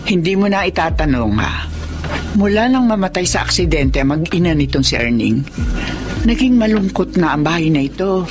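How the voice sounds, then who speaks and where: An older woman playing a gentle, welcoming elderly mother in a Filipino radio drama, heartfelt in her delivery. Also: on the low side, expressive, normal speed, close to an everyday voice. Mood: welcoming, tender. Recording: music under the voice.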